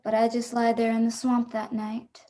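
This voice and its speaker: A woman acting a hunted, defiant adventurer in a radio play. The voice is fairly high and keeps to a narrow range, telling the tale in dramatic, suspenseful first person. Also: monotone, normal speed, heavy background noise, close to an everyday voice. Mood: tense, desperate. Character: hunted adventurer narrating her escape, tense and defiant.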